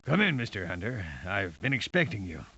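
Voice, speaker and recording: An actor playing a sinister, formal video game villain with a gravelly, raspy voice and courteous, theatrical menace. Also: male voice, big pitch swings, brisk, bright, forceful tone, exaggerated voice. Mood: suave menace. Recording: dull low-fidelity game audio.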